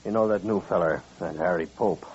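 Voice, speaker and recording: An American man playing a bitter, vengeful rancher in an old-time radio western, with a husky voice and blunt, folksy speech. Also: low-pitched, brisk, darkish tone. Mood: bitter, grim. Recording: muffled old radio recording.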